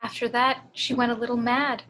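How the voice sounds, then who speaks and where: A woman voicing a possessed, motherly woman with slow, deliberate, soothing menace in an amateur table read. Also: a little high, level tone, pushed and bright. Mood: ominous, soothing. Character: sinister motherly woman possessed by a vengeful ghost.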